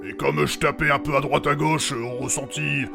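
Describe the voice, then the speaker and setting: A man's voice: fairly high pitch, steady tone, moderate pace, vocal fry. A man playing a foul-mouthed, hot-tempered warrior in a French fantasy comedy audio drama, with crude, blustering delivery. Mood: gruff, explaining. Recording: dramatic music behind the voice.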